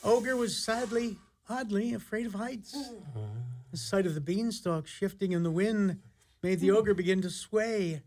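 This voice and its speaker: A man's voice: high voice, a little slow, darkish tone. A man narrating a fairy-tale radio play in an ornate, dramatic, theatrical style. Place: radio studio.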